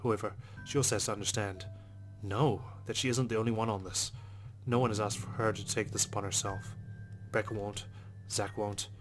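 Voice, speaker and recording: Fast, flat, low-voiced male narrator. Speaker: let's play host. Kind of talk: narration read aloud from a visual novel. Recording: music under the voice. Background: game music.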